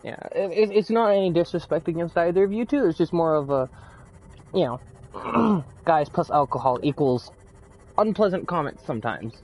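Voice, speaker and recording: A man's voice: a little high, expressive, normal speed, muffled recording, slight background noise. A man streaming a game and chatting casually with friends, often stumbling and repeating his words. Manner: stammers and repeats words, lots of fillers. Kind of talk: casual live-stream chat with party friends.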